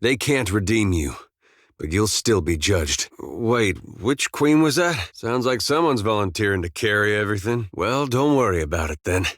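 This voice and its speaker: A low male voice, recorded close and dry as a video game farmer turned adventurer. He is easygoing and plain-spoken, with folksy phrasing. His humor is wry and understated. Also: animated, moderate pace, no room sound. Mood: reproachful. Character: laid-back, wry farmer turned adventurer, good-natured young-to-middle-aged man. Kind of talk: scripted video game dialogue.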